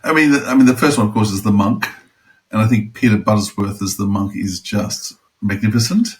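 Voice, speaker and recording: Low-voiced man in casual podcast chat. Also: brisk. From outside the UK.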